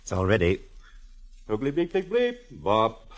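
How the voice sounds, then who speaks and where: An actor playing a fussy, effusive, motherly gentleman in a 1940s radio drama, gushing with genteel theatrical endearments. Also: fairly low pitch, wildly swooping pitch, measured pace. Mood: gushing, genial. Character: fussy, effusive, motherly male photographer and old pal.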